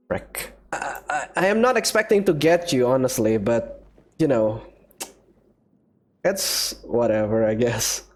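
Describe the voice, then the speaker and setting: A man's voice: medium pitch, lively intonation, takes it slowly, pauses often. A man giving casual commentary as the host of a gameplay video.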